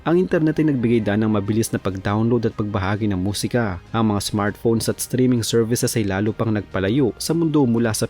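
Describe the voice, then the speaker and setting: A man with a Filipino accent delivering scripted documentary narration in a measured, formal storytelling manner. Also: fairly low pitch, a little mellow. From Philippines. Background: background music.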